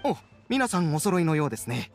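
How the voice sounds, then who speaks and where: A man's voice: on the high side, big pitch swings, a little fast, soft background music. A man playing a courteous, businesslike young clerk in a video game, speaking in polite, formal phrases. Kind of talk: Japanese video game voice acting.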